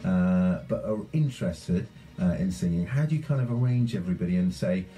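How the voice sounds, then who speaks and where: A man's voice: deep voice, brisk, dark tone, faint music behind. A man hosting a community radio show, chatting casually and rambling with lots of fillers. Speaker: radio host.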